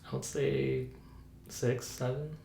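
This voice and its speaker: A man playing a patient in an ASMR medical exam roleplay, giving casual, brief, low-key answers. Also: level tone, slow pace, creaky, warm tone. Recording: roomy echo, faint background noise.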